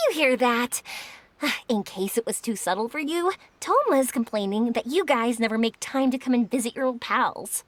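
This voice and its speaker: A woman's voice: a little high, animated, a little slow. A woman playing a bubbly, sociable young woman in a video game, chatty and enthusiastic.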